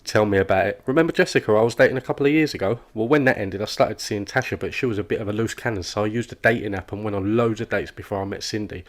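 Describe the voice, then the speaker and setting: An actor playing an oblivious, relentlessly chatty office worker in an animated comedy, with a rambling, oversharing manner. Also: male voice, lowish voice, quick pace, few pauses, close to an everyday voice. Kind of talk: comic animated dialogue.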